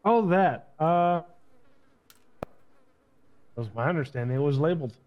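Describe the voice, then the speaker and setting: A man's voice: a little high, expressive, unhurried, lo-fi sound. A man playing a captured, unrepentant henchman in a game roleplay, giving guarded, casual, matter-of-fact answers over voice chat. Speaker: role-player in an online game. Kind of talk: improvised roleplay interrogation.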